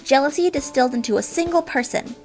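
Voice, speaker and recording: Woman doing high girly catgirl alien, eager n dramatic, audio drama storytelling, bit of music under it. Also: fast, a little mellow. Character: perky, girlish, eager young female alien cat-like troll. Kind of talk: fan audio drama narration.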